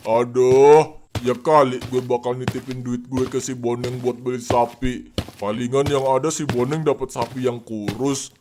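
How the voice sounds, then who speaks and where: A man's voice: mid-range voice, flat delivery, normal speed, background noise, lightly acted. An actor playing a boastful middle-aged village man in a cartoon, cocky and given to chuckling. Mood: scheming.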